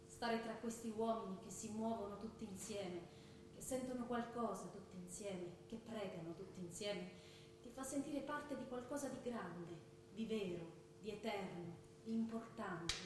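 A woman's voice: moderate pace, close to an everyday voice. A woman playing a reflective narrator in a drama, giving lyrical second-person narration of inner feelings. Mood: reflective, moved. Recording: distant, echoey stage with rumbling noise.

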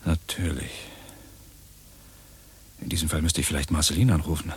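A man's voice: a bass voice, fairly even intonation, normal speed, breathy edge, exaggerated voice. A man playing a mild, resigned uncle in a radio play, hesitant and understated. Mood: worried. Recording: faint background noise.

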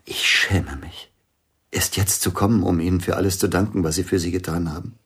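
A man playing a grieving widowed concert pianist in a radio play, speaking in a subdued, husky voice. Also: deep voice, fairly even intonation, brisk, a bit bright. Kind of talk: radio drama acting.

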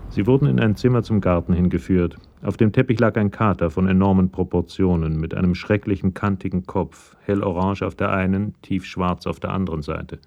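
A man's voice: low voice, steady tone, fairly quick, creaky, few pauses, warm tone, muffled recording. A man giving scripted narration for a German radio crime drama.

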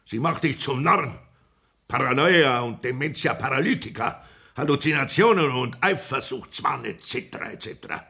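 A man's voice: mid-range voice, lively intonation, normal speed, pushed and bright, slightly rough, full character voice. A man playing a pompous old country doctor who lectures theatrically in a 1960s radio play. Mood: declaiming. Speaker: Sanitätsrat.

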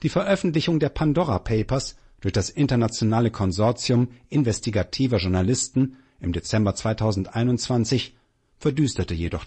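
A man narrates in a scripted documentary style. His voice sits at a middle pitch with a slightly husky grain. The delivery is factual and measured throughout. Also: darkish tone.

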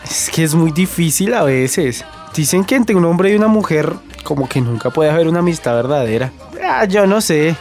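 A man's voice: fairly high pitch, expressive, moderate pace, darkish tone, faint music behind. An actor playing a teasing, informal young Colombian man in a radio soap opera. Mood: teasing, reflective.

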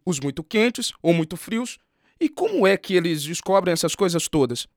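A man's voice: high voice, animated, normal speed, no room sound, clean studio sound. A man with a Brazilian accent playing a curious, agreeable schoolteacher who asks polite questions in a scripted radio drama. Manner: polite, inquisitive.

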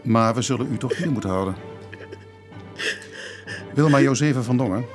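A man with a Dutch accent playing a dutiful, sympathetic police inspector in a radio drama, measured and formal in his questioning. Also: medium pitch, faint music behind, close to an everyday voice. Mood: grave, formal. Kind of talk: naturalistic radio drama acting.

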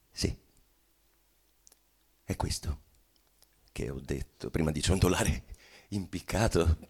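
An actor playing a dead bourgeois man bitterly confessing in a theatrical monologue, with halting, weighty phrasing and sudden swells. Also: fairly low pitch, heavily acted. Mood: grim, deliberate. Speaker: stage actor giving a dramatic reading. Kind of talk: theatrical monologue reading of a literary play.

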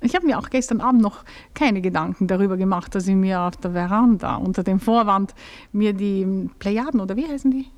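A woman's voice: mid-range voice, fairly quick, a little mellow, lightly acted. A woman playing a suspicious, piqued society mistress with a pointed, sarcastic manner in a German radio play. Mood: irritated.

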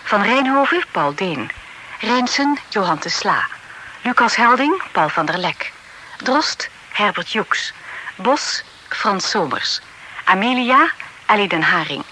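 A woman announcer briskly reading the closing credits of a radio drama. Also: medium pitch, very animated, pushed and bright, a little hiss or hum, rough recording. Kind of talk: broadcast announcement.

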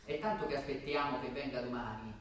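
A man giving a measured dramatic reading of stage directions, in short deliberate phrases. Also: mid-range voice, fairly even intonation. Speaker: reader performing a stage play excerpt. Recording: distant, very echoey room with faint noise.

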